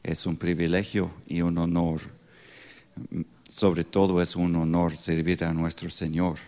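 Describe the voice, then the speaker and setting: A man in his sixties with an American accent, a non-native speaker with English as first language, preaching informally as a missionary in halting speech with grammatical slips. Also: deep voice, little pitch movement, darkish tone. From Pittsburgh, United States. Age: 60s. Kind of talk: sermon with informal introduction.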